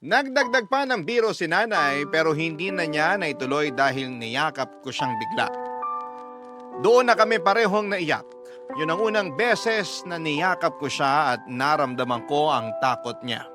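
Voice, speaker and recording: A man's voice: a little high, unhurried. A Filipino man narrating a scripted first-person story as a radio host, in a sentimental, reflective way. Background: music.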